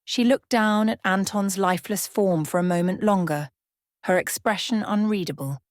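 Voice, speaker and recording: A woman narrating a scripted ASMR bedtime story in a measured, hushed dramatic reading, close to the microphone. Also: mid-range voice, dry recording. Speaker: storyteller, channel host.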